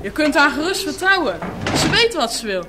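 An actress playing an eager, trusting woman in a radio play, coaxing and insistent in an excitable way. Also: sits high, normal speed. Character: eager, trusting young woman following a cow. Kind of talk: Dutch radio play acting. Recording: roomy, noisy radio play recording with clicks.

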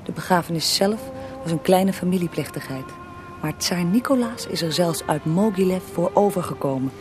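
A woman's voice: fairly low pitch, animated, moderate pace, natural voice. Composed actress as female interviewer, music behind. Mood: factual. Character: female interviewer questioning a dead historical man, knowing, composed. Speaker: interviewer and narrator. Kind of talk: radio drama, scripted interview.

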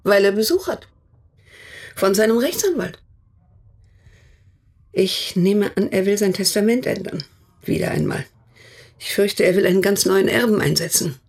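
A woman's voice: mid-range voice, vocal fry, husky, exaggerated voice. An actress playing a stern older woman, a mother of three grown sons, who speaks in a guarded, cool and matter-of-fact way in a radio drama.

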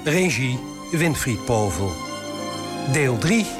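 A man's voice: medium pitch, big pitch swings, slow pace. A man reading scripted titles and credits as the announcer of a radio drama. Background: music.

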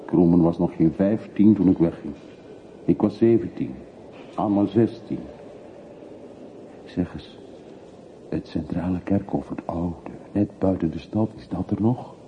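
A man's voice: deep voice, measured pace, pauses often. An actor playing a brooding middle-aged man back from long exile in a radio play, terse, evasive and reflective. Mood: quietly insistent. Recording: muffled phone-like old radio recording, faint hiss.

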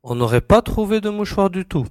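A man's voice: high-pitched, animated, brisk, no echo, clean studio sound. A man playing a keen, self-assured young reporter turned sleuth, emphatic and declarative in an audiobook drama. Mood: confident, grave. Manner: emphatic, repeating words, declarative.

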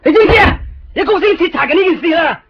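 A man's voice: very high-pitched, animated, rapid-fire. A man playing a fussy, penny-pinching office manager in a radio drama, nagging with repeated questions. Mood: frantic, exasperated. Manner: nagging, repetitive rhetorical questions. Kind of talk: Cantonese radio drama acting. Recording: muffled lo-fi radio recording, faint music.

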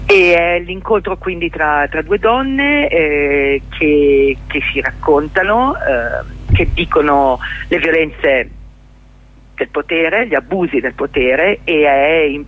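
A middle-aged woman with a husky voice, explaining her own work as a guest in a conversational radio interview over the telephone. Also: deep voice, animated, slow pace. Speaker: interview guest, journalist and stage performer. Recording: dull telephone line to a radio studio.

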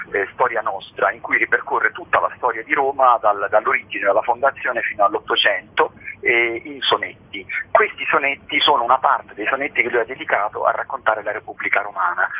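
A man's voice: lowish voice, breathy edge. A man speaking as an actor interviewed on radio, explaining earnestly and with passion, using emphatic repetitions. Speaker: stage actor, interview guest. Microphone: telephone. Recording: muffled tinny phone line.

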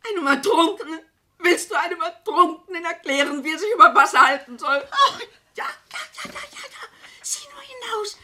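An actress playing a bitter, defiant niece in a German radio play, speaking with pointed, theatrical sarcasm. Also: female voice, very high-pitched, lively intonation, normal speed, sharp tone, exaggerated voice. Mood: bitter, hysterical. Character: bitter, defiant niece, a former teacher turning on her relatives.

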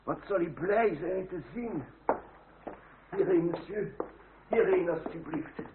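An actor playing a deferential, eager household servant in a radio drama, speaking in a drawn-out, exclaiming way. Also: male voice, sits high, creaky, exaggerated voice. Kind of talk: old radio drama acting. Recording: echoey, noisy, narrow-band old radio recording.

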